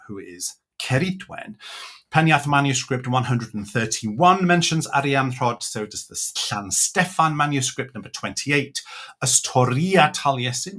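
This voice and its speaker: A man from Wales, a native Welsh speaker, giving an online lecture and slowing down to stress key words. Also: medium pitch, a bit bright. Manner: measured, slows to stress words. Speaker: author and speaker.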